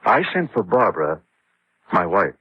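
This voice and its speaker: A very husky, fairly low-voiced man playing a weary engineer in a radio horror play. His delivery swings widely in pitch as he narrates and acts, on a dull lo-fi recording. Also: sing-song, normal speed, full character voice. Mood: grim recounting. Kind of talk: radio drama narration and acting.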